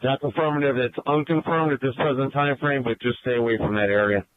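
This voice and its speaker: A man's voice: medium pitch, steady tone, fairly quick, dry recording. A man speaking as a police officer, passing messages over a police radio. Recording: narrow, tinny police radio transmission.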